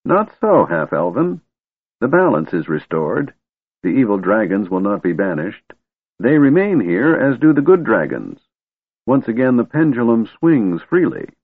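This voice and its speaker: A man's voice: fairly low pitch, sing-song, unhurried, slightly rough, no echo, pitched above the performer's own voice, heavily acted. A man voicing a wise, eccentric old wizard who is secretly a god, testy and stern in turn. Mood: scolding. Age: older. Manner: cranky yet wistful, scolding. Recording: tinny phone-like dry recording.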